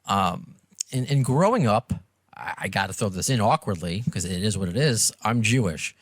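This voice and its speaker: A man with a New York accent chats as a podcast host, his fairly low voice rising and falling freely. He rambles and pokes fun at himself in a casual interview style. The sound is close and dry. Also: lively intonation, normal speed, husky, no room sound. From Long Island and Brooklyn, New York. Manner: rambling, self-deprecating.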